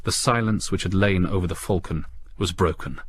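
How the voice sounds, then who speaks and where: A man reading a suspenseful story as an audiobook narrator, in a measured, grave, dramatic storytelling style. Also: sits low, husky, no echo.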